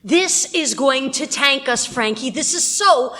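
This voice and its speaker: A woman's voice: high voice, animated, unhurried, bright, forceful tone, exaggerated voice. A woman playing a stern, exasperated radio station boss in a radio drama, firm and scolding. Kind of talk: dramatic radio play acting.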